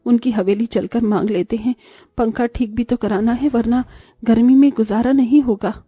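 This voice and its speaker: A woman's voice: on the high side, fairly even intonation, moderate pace, warm tone, husky, no echo. A woman voicing a poor middle-aged farmer's wife and mother in a cartoon, mild and placating. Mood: tired, practical. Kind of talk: Hindi moral-story cartoon voice acting.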